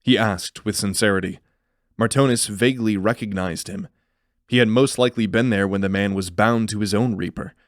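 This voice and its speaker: Male narrator for fantasy audio drama: lowish voice, measured storytelling, close dry sound. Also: no echo.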